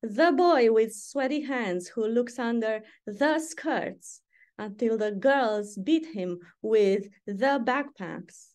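A middle-aged woman with a Romanian accent reads her poems in an unhurried, deliberate way, with pauses between lines. She adds short asides between poems. It sounds like a video call from a room at home. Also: on the high side, a little mellow. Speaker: poet. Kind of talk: poetry reading.

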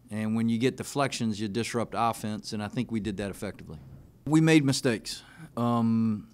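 A man's voice: mid-range voice, clear, resonant tone. Man coaching at a press conference. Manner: measured, plain-spoken, frequent "uh" fillers. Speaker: basketball coach. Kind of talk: post-game press conference answers. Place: press room in front of a team backdrop. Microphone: desk mic on a stand.

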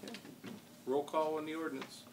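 An American man chairing a council meeting, speaking formally with informal asides and halting, repeated words. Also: a little high, flat delivery, darkish tone. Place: wood-paneled council chamber. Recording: distant room microphone, faint background noise.

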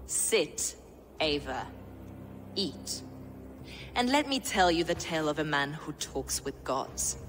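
An actress playing a smug, fanatical villain in a video game, mocking and theatrical with false gentility. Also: female voice, sits low, big pitch swings, slow, pauses often, fairly bright tone, in a large hall, a little hiss or hum, exaggerated voice. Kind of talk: dramatic video game acting.

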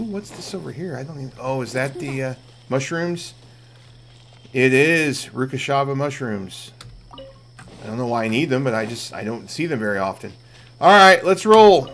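A middle-aged man streams a game with a noticeably creaky, gravelly voice. He comments casually, thinking aloud as he goes and speaking informally to his viewers. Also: mid-range voice, a bit bright, slight background noise. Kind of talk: casual live gameplay commentary.

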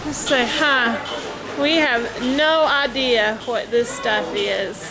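A woman's voice: on the high side, slow. A woman filming her family in a market, coaxing and directing her children in casual chat from behind the camera. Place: busy outdoor market. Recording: loud crowd and street noise. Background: market crowd and traffic.

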